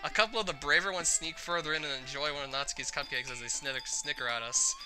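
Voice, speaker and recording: A game player reading a visual novel's narration aloud in an expressive storytelling style. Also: medium pitch, fast talker, over music.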